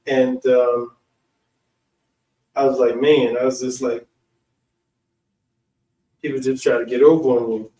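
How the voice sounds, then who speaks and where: Echoey, slow-talking American man. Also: medium pitch, level tone, mellow. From United States. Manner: informal, slangy, halting delivery. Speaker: online speaker and channel host. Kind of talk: casual personal storytelling. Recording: echoey room, distant from mic.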